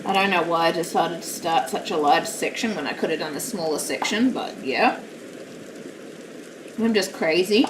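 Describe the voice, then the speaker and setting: A woman chatting casually while live-streaming a craft hobby from home, rambling and self-deprecating, with a naturally loud voice. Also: on the low side, moderate pace. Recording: roomy, distant mic, steady background noise.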